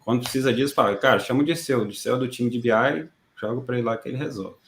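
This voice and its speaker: Relaxed man in an echoey room. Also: medium pitch, very fast, slight background noise. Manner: relaxed, joking. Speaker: DBA team leader. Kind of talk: online class introduction.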